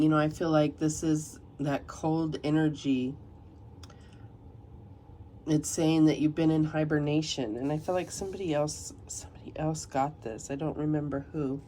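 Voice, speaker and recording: Low-voiced woman, nasal from a cold. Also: slight background noise, low-quality recording. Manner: soft, unhurried, reads passages aloud. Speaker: tarot reader. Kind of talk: tarot card reading.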